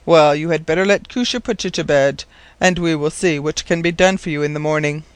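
Man as a high-voiced kindly old professor. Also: fairly quick, clean tone, dry recording, natural voice. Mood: thoughtful, doubtful. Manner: gentle, hesitant, thoughtful. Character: kindly, absent-minded old male professor.

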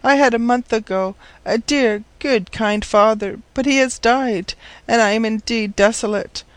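A man's voice: high voice, unhurried, a bit bright, clear, resonant tone, no echo, voice pushed up. A man voicing a forlorn, pleading teenage orphan girl in a storybook, earnest, plaintive and formally polite.

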